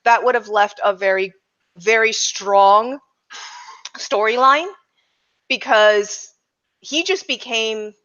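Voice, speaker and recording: A woman's voice: fairly high pitch, slow pace, pauses often, a bit bright. A woman hosting a podcast in a casual discussion style, recorded with a somewhat dull, lo-fi sound. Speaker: podcast host.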